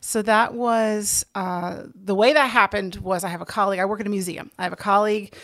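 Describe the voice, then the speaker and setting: A woman's voice: mid-range voice, steady tone, fairly quick, a bit bright. Woman explaining things in casual conversation. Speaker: interview guest, theater director.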